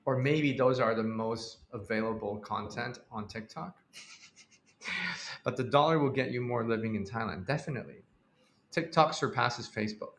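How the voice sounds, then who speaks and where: A man's voice: mid-range voice, a bit bright, a little hiss or hum. Rambling man in an echoey room. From Hong Kong. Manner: rambling, halting, with frequent fillers and restarts. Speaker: live streamer. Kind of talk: casual live-stream chat reading viewer comments.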